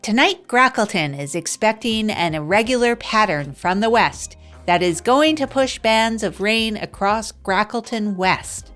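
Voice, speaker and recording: A woman's voice: mid-range voice, animated, measured pace, hardly any pauses, fairly bright tone, soft background music. A woman playing a fictional small-town radio host, rambling through a self-deprecating improvised comedy monologue. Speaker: radio show host character.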